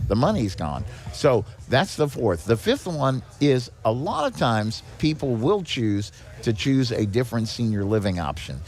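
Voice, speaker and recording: Man explaining things in halting, repeated starts. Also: medium pitch, sing-song, darkish tone. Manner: explanatory, repeats and restarts words often. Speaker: guest, director of a seniors' charity. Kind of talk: conversational podcast interview.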